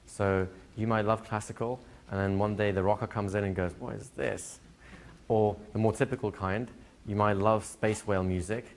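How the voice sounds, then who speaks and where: A man teaching a hypnotherapy class to a live group, explaining plainly and slipping into slow, soothing hypnotic patter for demonstrations. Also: on the low side. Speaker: hypnosis trainer. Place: training room with a live audience.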